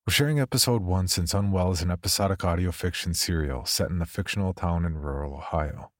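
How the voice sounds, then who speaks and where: A man's voice: deep voice, dry recording, clean studio sound. A man hosting a podcast, reading a scripted introduction. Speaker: podcast host.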